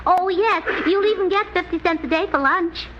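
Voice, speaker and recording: A ditzy devoted american wife, chirpy high voice, old muffled radio sitcom with clear hiss. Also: female voice, moderate pace. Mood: breezy. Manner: chirpy, guileless. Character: scatterbrained, cheerfully illogical, devoted wife. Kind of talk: 1940s radio comedy acting. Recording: muffled, noisy 1940s radio recording.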